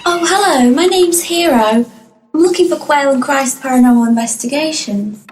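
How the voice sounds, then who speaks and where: A woman acting a distressed young client in an audio play. Her voice is quite high, earnest and faltering. There is some faint noise behind her. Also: moderate pace, natural voice, rough recording. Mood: polite. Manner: hesitant, faltering, anxious.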